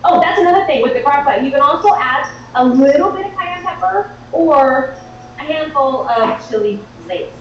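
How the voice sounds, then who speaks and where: High-voiced excitable woman, very echoey kitchen. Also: moderate pace. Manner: chatty, excitable, informal. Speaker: host of a live cooking show. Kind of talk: casual live cooking demonstration. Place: home-style kitchen, indoors. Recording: very echoey, distant, dull, faint noise.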